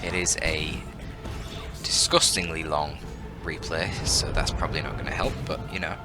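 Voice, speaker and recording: A man's voice: rumbling low, fairly even intonation, normal speed, over music. A man with a bunged-up voice giving casual, sweary, rambling commentary on a video game match with joking asides. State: congested, bunged-up voice.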